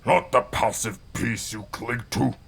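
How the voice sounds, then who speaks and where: Man playing gravelly, hot-headed young samurai rival. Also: medium pitch, fairly even intonation, unhurried, a bit bright, exaggerated voice. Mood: cold defiance. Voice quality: gravelly, raspy. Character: aggressive, ruthless young male warrior in feudal Japan. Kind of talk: dramatic audio drama acting.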